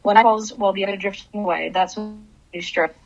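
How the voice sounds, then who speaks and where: A woman's voice: mid-range voice, fairly even intonation, brisk, lo-fi sound, lightly acted. An actress playing a grief-crazed, vengeful mother in a radio play, intense and commanding. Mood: cold, menacing. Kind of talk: dramatic radio play acting with narration.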